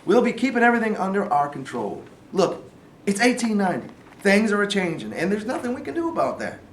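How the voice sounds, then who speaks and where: A man in a staged play reading, playing a gruff, scheming Southern man of authority with a folksy, commanding manner. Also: high-pitched, very animated, a little fast. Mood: firm, scheming. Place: live staged reading in a room. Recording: roomy, distant mic, faint noise.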